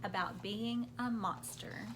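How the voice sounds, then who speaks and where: A woman's voice: mid-range voice, steady tone, takes it slowly, background noise. A woman hosting a children's storytime, reading picture books aloud expressively and explaining things simply for young listeners.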